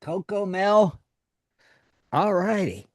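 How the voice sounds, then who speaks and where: Host, man, sixties, chatty and jokey; unhurried, dry close mic. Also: high voice, animated, no echo. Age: 60s. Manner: jokey, laughs often. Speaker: radio show host. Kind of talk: casual live chat.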